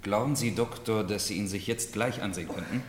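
An actor in a 1970s German radio play playing a brisk, impatient gentleman who takes charge. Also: on the low side, lightly acted. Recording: echoey hall, hissy old radio recording.

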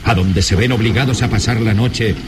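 A man's voice: fairly low pitch, brisk, noisy background. A man narrating a radio serial in a dramatic, solemn, theatrical scripted style.

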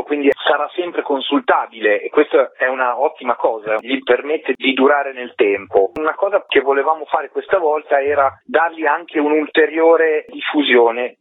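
Husky man on a tinny phone line. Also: mid-range voice, dry recording. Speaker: interviewed documentary co-director. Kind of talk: phone interview, explanatory talk. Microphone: telephone.

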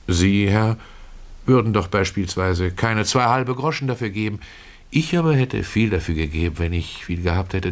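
An actor playing a humble, pious Russian tailor who confides his regrets in a folksy, ruminative radio-play storytelling manner. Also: sits low. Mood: wistful, confiding. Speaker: narrator of a literary radio play. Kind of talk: dramatic first-person storytelling.

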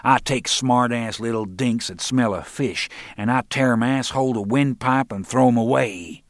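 A man's voice: medium pitch, normal speed, dry recording, pitched above the performer's own voice, lightly acted. A man reading aloud, voicing a crude, menacing male thug who sneers and taunts. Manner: crude, sneering, swings from mild to shouting.

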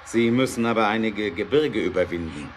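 A man acting a determined, practical inventor in a German radio play, measured, persuasive and drily ironic. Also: fairly low pitch, slight background noise, lightly acted.

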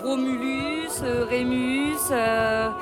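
A woman's voice: sits high, fairly even intonation, extremely slow. A woman answering casually and hesitantly as an interviewed tourist, searching for names. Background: music.

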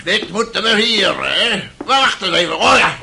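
A boisterous, mocking old man in a radio drama. His voice is fairly high for a man and piercingly sharp, with a creaky gravel to it. He sounds drunken and coarse, full of jeers and interjections. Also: exaggerated voice. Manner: chuckling, jeering, peppered with interjections.

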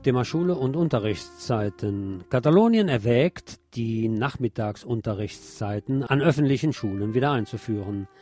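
A man's voice: medium pitch, expressive, normal speed, few pauses, mellow. Male radio news presenter over music. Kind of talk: scripted news narration. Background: music under the voice.

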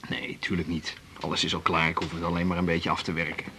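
An actor with a Netherlands Dutch accent playing a playful, romantic cellist hosting a dinner date in a 1980s radio drama. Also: male voice, deep voice, level tone, quick pace, bright, forceful tone. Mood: attentive, brisk. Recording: 1980s radio recording, clear background noise.

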